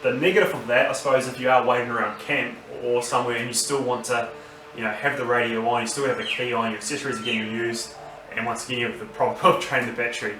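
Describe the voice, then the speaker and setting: A man with an Australian accent giving a casual, unscripted review, halting as he thinks aloud. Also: medium pitch, little pitch movement, bright, forceful tone, soft background music. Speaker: vlogger reviewing gear. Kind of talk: casual unboxing and review talk. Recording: roomy, distant mic, noisy background.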